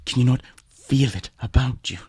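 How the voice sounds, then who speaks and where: An actor playing a tormented, half-mad aristocrat in a radio drama, theatrical and feverish, swinging between hushed and frantic delivery. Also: male voice, mid-range voice, moderate pace, breathy edge. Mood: bitter, frantic. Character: tormented, half-mad male aristocrat, last of a cursed line. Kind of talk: melodramatic radio drama acting.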